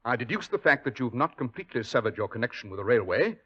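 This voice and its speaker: Self-assured detective with a lively, lecturing delivery, old radio drama. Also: male voice, mid-range voice, fast, husky. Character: brisk, confident male detective. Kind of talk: 1940s radio acting. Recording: dull old radio broadcast.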